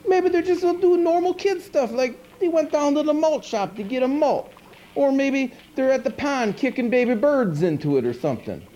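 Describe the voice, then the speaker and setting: A man playing a goofy, good-natured monster who hosts a horror movie show, rambling casually and hesitantly with deadpan asides. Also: moderate pace. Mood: whiny, exasperated. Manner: rambling, hesitant, laid-back deadpan asides with pitch swoops. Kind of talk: casual comic hosting monologue. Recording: dull noisy 1980s VHS tape.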